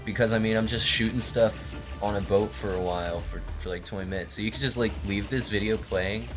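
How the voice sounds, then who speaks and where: A man hosting a video game let's play, giving rambling, joking commentary in a deadpan, tongue-in-cheek, meandering way. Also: on the low side, a little fast, over music.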